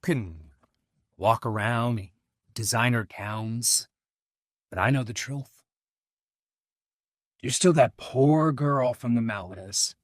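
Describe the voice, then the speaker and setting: A man's voice: mid-range voice, slow pace, pauses often, no echo, clean studio sound, close to an everyday voice. A man playing an angry, arrogant rich ex-husband in an audio drama, menacing and scornful. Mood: threatening, scornful.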